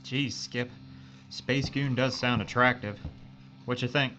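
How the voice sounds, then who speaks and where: A man's voice: mid-range voice, steady tone, slow, pushed and bright, faint music behind. A man playing a wisecracking, game spaceship crewman in a sci-fi audio drama, with a casual, wry delivery. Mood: wry, resigned. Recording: dull recording with clear background noise.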